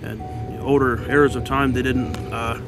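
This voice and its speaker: A man on a ghost hunting team, musing haltingly outdoors at night with many pauses. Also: medium pitch, flat delivery, music in the background. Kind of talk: casual reflective talk.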